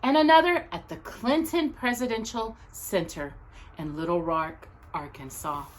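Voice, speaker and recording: A woman reading aloud as a storytime host, speaking earnestly and deliberately to young viewers, phrase by phrase. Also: fairly low pitch, a little hiss or hum, poor audio.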